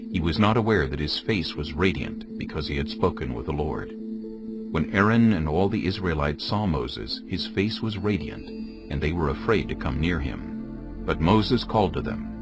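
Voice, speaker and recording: A man's voice: extremely low pitch, expressive, moderate pace. A man narrating a dramatized scripture audiobook from a script, as the storyteller between the characters. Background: music bed.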